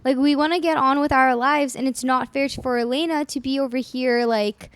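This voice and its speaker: High-voiced young woman chatting casually. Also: fairly even intonation, normal speed, no echo. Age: 20s. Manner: rambling, filler-heavy, laughs often. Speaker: podcast co-host. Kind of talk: casual chat.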